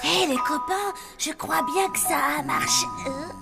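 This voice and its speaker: Excitable, very high-pitched cartoon kid. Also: female voice, unhurried, creaky, over loud music, heavily acted. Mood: excited, playful. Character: excitable, mischievous cartoon child. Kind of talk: French-dubbed children's cartoon acting.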